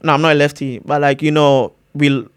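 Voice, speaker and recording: A man's voice: on the high side, a little fast, clear, resonant tone, no room sound. Guy in his twenties, easygoing interview chat, lots of "like", dry close sound. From Ghana. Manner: relaxed, with many fillers and restarts. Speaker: guest, college soccer player.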